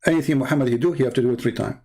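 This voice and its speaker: Male host, non-native English, mid-pitched; sarcastic religious commentary, leaning on repetition and pointed rhetorical questions. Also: rapid-fire, mellow. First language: not English. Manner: emphatic, repeats words for effect. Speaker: host of a religious debate show. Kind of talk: mocking commentary on read-out religious texts.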